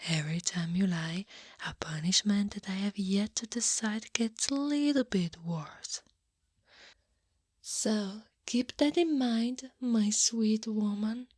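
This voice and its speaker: An Italian woman playing a tall, teasing Krampus monster woman in a soft, breathy ASMR roleplay, often dropping into a whisper. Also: mid-range voice, slow, dry recording, studio quality. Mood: coaxing, gently menacing. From Italy. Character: eight-foot horned furry Krampus woman, teasing, coaxing, in control.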